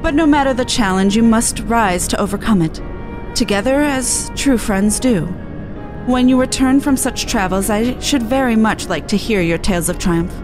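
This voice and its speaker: A woman's voice: on the high side, normal speed, over music, lightly acted. A woman voicing an earnest seventeen-year-old fantasy artisan with formal, archaic phrasing, reading game dialogue aloud. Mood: earnest encouragement.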